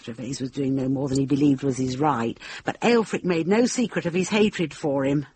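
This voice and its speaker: A woman's voice: rumbling low, normal speed, darkish tone, slight background noise. An actress playing a middle-aged widowed lady of a manor in a radio drama, genteel, candid and loyal. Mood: sorrowful, candid. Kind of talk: British radio drama acting.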